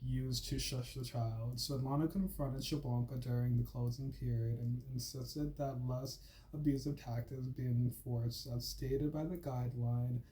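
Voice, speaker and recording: A man's voice: medium pitch, steady tone, measured pace, dark tone, a little hiss or hum, low-quality recording. Casual man who says "like" a lot. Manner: filler-heavy, says "like" and "freaking" often, stumbles and restarts while reading. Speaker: channel host. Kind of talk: casual talk and reading aloud from a school assignment.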